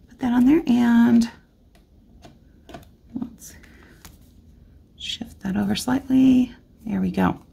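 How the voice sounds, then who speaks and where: A woman's voice: medium pitch, gravelly, darkish tone, slightly rough. A woman hosting a card-making tutorial, chatting casually and thinking aloud as she works, slightly losing her voice. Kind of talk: casual crafting tutorial narration. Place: indoor craft desk. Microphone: overhead camera, hands only on craft mat.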